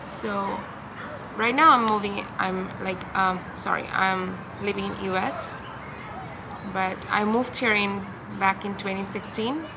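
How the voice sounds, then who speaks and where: A young woman with a Bangladeshi accent talking in a hesitant, halting, confiding way in a personal vlog recorded outdoors. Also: mid-range voice. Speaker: vlogger. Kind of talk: personal talk-through to camera. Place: outdoors in a park. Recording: outdoor park noise, distant traffic. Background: ambient park and vehicle noise.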